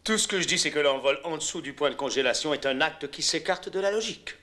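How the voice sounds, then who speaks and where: A man's voice: a little high, lively intonation, fast talker, pushed and bright. A man acting an earnest, cautious rocket engineer in a dubbed docudrama, formal and insistent. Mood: insistent, worried.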